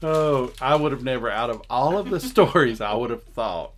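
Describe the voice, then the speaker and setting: A man's voice: medium pitch, expressive, moderate pace, slight background noise. A man co-hosting a podcast in casual comedic chat, with a jokey, incredulous manner. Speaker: podcast co-host.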